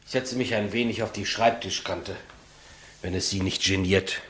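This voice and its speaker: An actor playing an elderly state executioner, polite, clumsy and matter-of-fact, in 1950s German radio play style. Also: male voice, deep voice, steady tone, moderate pace, a bit bright. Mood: calm, polite. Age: older. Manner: deferential, plain, businesslike.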